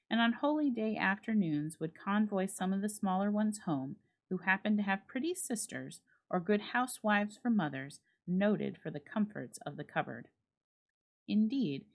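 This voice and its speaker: A woman reading a classic story aloud as a dramatic, expressive narration. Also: lowish voice. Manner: expressive read-aloud delivery. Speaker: library staff reader.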